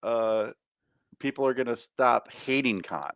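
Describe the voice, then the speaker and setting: A man's voice: medium pitch, lively intonation, a little slow, fairly bright tone, smooth, no room sound. A man co-hosting a podcast in casual conversation, often restarting his phrases. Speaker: podcast co-host.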